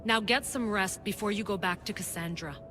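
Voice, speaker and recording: A woman's voice: medium pitch, brisk, close to an everyday voice. An actress playing a caring but firm woman doctor who keeps a colleague's health in check. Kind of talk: game cutscene acting. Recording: long-reverb room with faint noise.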